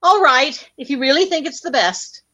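A woman's voice: high voice, expressive, moderate pace, sharp tone, no echo. A woman playing an earnest, protective young housewife in an old-time radio comedy, emotive, on muffled audio. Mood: firm.

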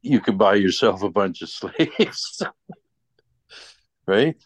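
A man with a low voice talks casually and at a slow pace. The sound is dry, with no room around it. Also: expressive, breathy edge, no echo. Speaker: discussion participant. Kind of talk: casual chat.

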